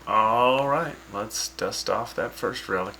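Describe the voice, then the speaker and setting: A man's voice: fairly low pitch, slow pace, creaky, sharp tone, slight background noise, full character voice. A man playing a wry wasteland radio host. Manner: wry, theatrical announcer.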